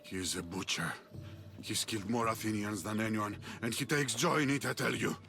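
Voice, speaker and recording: An embittered ancient Greek rebel for a video game. His lowish voice is gravelly yet forceful, urgent and conspiratorial, with soft music behind. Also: brisk. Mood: bitter, urgent. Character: earnest, embittered Greek rebel leader, a man. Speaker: video game supporting character. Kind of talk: acted game dialogue.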